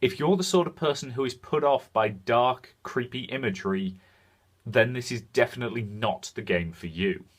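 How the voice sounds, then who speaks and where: Man reviewing games in measured commentary. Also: mid-range voice. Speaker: video game reviewer and host. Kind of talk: semi-scripted review commentary.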